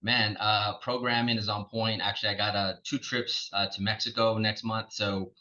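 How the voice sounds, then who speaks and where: Texan male guest, laid-back and casual, low but bright-edged voice, dull lo-fi line. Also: little pitch movement, moderate pace. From Texas, USA. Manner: laid-back, many fillers like um and man. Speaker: podcast guest, coach. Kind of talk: casual interview chat.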